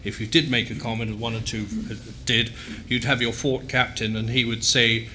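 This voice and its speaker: A man in his sixties with a bright, forceful tone recounts station rules briskly and plainly. A faint ambient hiss lies under his voice. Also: medium pitch, little pitch movement. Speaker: former offshore radio DJ. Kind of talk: reminiscing panel chat.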